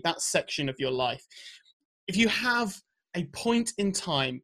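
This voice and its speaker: A man hosting a podcast, introducing the show and his guest with energy. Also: high-pitched. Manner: energetic, warm introductions with many restarts. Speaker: podcast host.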